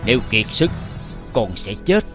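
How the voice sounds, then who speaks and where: Fairy-tale animation sage: elderly male teacher of magic, wise, measured, over music. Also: fairly low pitch, big pitch swings. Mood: solemn teaching. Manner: instructive, measured. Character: wise, solemn old male magic master. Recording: music behind the voice.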